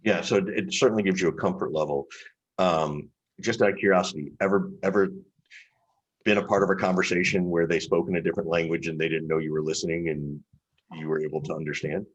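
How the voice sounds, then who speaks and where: A man's voice: sits low, steady tone, quick pace, dull audio. A man hosting a podcast interview, asking curious follow-up questions. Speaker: podcast host. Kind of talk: casual interview.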